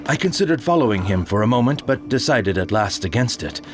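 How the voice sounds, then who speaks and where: A man gives a measured, solemn first-person reading of a period sea tale in a one-man audio drama. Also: medium pitch, expressive. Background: music.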